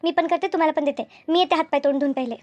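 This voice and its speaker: A woman's voice: high voice, rapid-fire, a little mellow, no room sound, close to an everyday voice. A woman voicing an anxious, deferential young working daughter-in-law in a cartoon family drama, speaking colloquially.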